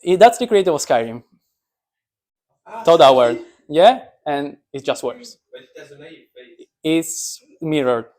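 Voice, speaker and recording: A man's voice: a little high, sing-song, slow, pauses often, a little mellow. Joking young man giving an informal science talk. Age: young adult. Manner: joking, self-deprecating. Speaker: speaker, physics PhD student.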